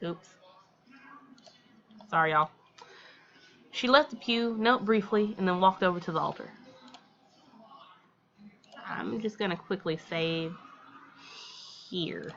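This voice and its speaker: A woman's voice: fairly low pitch, slow, long pauses, a bit bright, dull audio. A woman reading a visual novel aloud as a let's player, with informal, colloquial reactions along the way.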